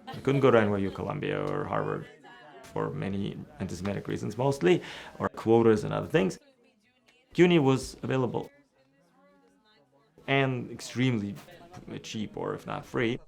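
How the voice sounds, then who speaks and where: Gravelly older man, earnest appeal. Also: lowish voice, expressive, normal speed, long pauses, a little mellow.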